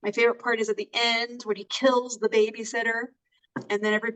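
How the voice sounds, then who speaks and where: A woman's voice: fairly high pitch, flat delivery, fast, a bit bright. A woman co-hosting a casual movie review over a remote call, speaking hesitantly with many fillers. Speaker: co-host. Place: in California. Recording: voice distant from the mic.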